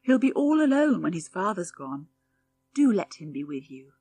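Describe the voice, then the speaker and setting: A woman voicing a kindly farm wife in an audiobook, soothing and gently firm. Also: mid-range voice, sing-song, moderate pace, slightly rough, no echo. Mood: gently pleading.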